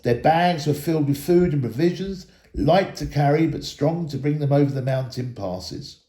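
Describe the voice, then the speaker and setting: A man reading a children's story aloud in an expressive storytelling style. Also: on the high side, moderate pace, echoey room. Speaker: storybook reader, school staff member.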